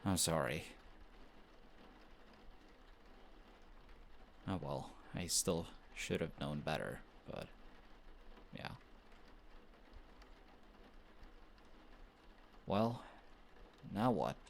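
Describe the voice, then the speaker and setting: A man's voice: sits low, vocal fry, a little hiss or hum, full character voice. A man playing a flustered, defensive young best friend who is secretly smitten, in an intimate romantic audio roleplay. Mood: soft, apologetic, shy. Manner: defensive, bickering, halting when shy.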